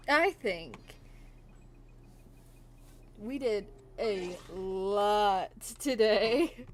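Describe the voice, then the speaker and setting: A high-voiced woman chats casually on a game livestream. She rambles slowly in a giggly manner, often circling back to repeat herself. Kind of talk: casual game stream chat.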